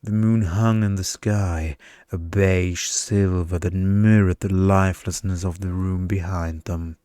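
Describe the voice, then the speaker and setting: A man reading a story in ASMR bedtime style, with a measured, deadpan delivery. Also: sits low, clear, resonant tone, no echo, clean studio sound.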